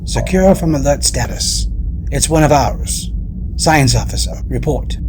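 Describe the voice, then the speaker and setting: A man playing a solemn, commanding fleet admiral in a sci-fi audio drama, speaking formally and with measured authority. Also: fairly high pitch, over music, lightly acted.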